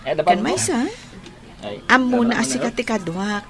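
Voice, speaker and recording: A woman's voice: on the high side, animated, a little slow, a crowd in the background. A woman playing a modest, hesitant young woman being courted in an audio drama. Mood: hesitant, pleased. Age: young adult.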